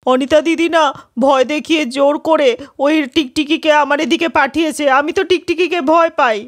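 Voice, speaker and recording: Quarrelsome cartoon housewife, female voice actor, very high register, emphatic accusatory delivery. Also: fairly even intonation, moderate pace, lightly acted. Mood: complaining.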